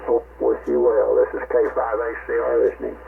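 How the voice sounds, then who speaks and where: An elderly man in his eighties with a creaky, gravelly voice rambles casually as he reminisces on amateur radio. The signal is narrow and tinny, with faint noise and hum behind him. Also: mid-range voice, very animated, fairly quick. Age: 80s. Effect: narrow radio transmission. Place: home radio station, heard over the air. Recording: noisy, tinny ham radio link with hum.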